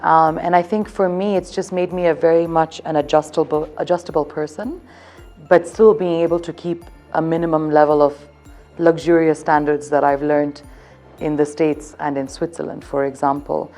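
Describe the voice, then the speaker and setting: A woman's voice: low voice, fairly even intonation, moderate pace, mellow, faint music behind. A woman in her thirties from Zambia, speaking as a hotel events representative. She gives upbeat, promotional interview answers and keeps circling back to one theme.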